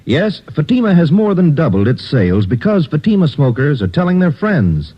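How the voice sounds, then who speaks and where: An actor reading a 1950s radio commercial as an announcer, husky and salesman-like. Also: on the high side, big pitch swings, normal speed. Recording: dull lo-fi old radio broadcast.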